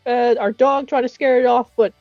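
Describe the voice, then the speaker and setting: High-voiced young Irish woman, excitable storyteller. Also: moderate pace, dry recording. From rural Ireland. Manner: excitable, rambling, sweary. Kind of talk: casual chat, storytelling with another woman. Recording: dull lo-fi recording, music behind. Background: music.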